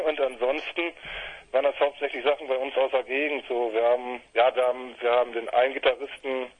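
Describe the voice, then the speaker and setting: Relaxed husky man on a tinny phone line. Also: mid-range voice, fairly quick. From northwestern Germany. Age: 30s. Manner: rambling, relaxed, hesitant with fillers. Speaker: fanzine maker, interview guest. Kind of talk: casual phone interview. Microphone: telephone.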